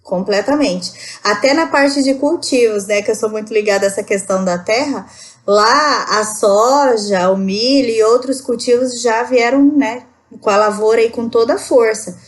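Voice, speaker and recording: Explaining woman, higher voice. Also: normal speed. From Rondônia, Brazil. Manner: explanatory, many tag questions. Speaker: podcast guest. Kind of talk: casual conversation.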